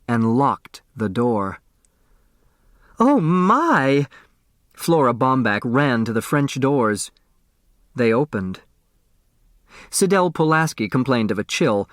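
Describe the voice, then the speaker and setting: A man's voice: mid-range voice, no room sound, clean studio sound. A man reading a story aloud as a scripted audiobook narration.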